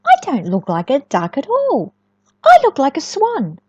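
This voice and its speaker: Lady doing an excited little duckling boy who's turned out a swan, high n swoopy, storybook style. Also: unhurried, dry recording, highs cut off, heavily acted. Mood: astonished. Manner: excited, exclaiming. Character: young male ugly duckling, astonished to be a swan.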